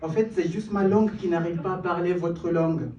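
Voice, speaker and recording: A man's voice: high-pitched, fairly even intonation, fairly quick, muffled recording, background noise. A young man from Kenya, an English speaker, performing spoken-word poetry at an open mic with a rhythmic, building delivery and self-deprecating asides. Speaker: performance poet. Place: small stage in a cellar venue. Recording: roomy, distant live room sound.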